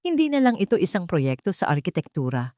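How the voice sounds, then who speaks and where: A woman narrates a scripted romantic drama in a fairly low voice. She tells the story in measured, short phrases. The sound is dry, with very little room in it. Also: very animated, warm tone, no room sound.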